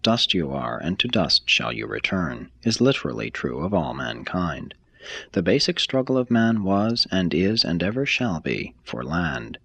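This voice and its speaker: A man reading a scripted text aloud as an audiobook narrator, with a measured, formal delivery. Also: low-pitched, big pitch swings, gravelly, fairly bright tone, breathy edge, no room sound. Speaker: audiobook reader.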